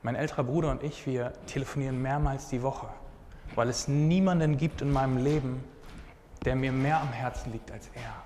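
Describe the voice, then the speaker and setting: A man's voice: mid-range voice, fairly even intonation, normal speed, darkish tone. Young male preacher in his twenties, earnest and casual, church hall with long reverb. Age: 20s. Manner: earnest, casual, often says "hey". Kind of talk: sermon with personal testimony. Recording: hall reverb, faint background noise.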